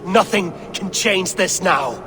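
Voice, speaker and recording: An actor playing a wrathful Norse god in a video game, speaking in an imperious, suspicious, declamatory way. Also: male voice, high-pitched, takes it slowly. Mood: solemn, defiant. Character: wrathful, commanding Norse god, male wanderer and warrior. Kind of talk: video game cutscene acting.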